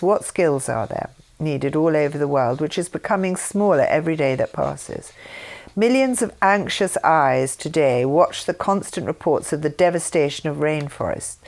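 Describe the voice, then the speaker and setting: Low-voiced woman reading aloud. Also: darkish tone. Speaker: reader of her mother's writings. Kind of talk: reading aloud from a written text.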